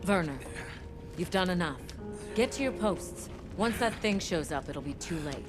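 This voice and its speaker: A woman's voice: on the low side, expressive, normal speed, music in the background, lightly acted. An actress playing a determined, bossy woman of a monster-hunting camp in a video game, insistent and emphatic. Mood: worried, insistent. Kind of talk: dramatic game voice acting.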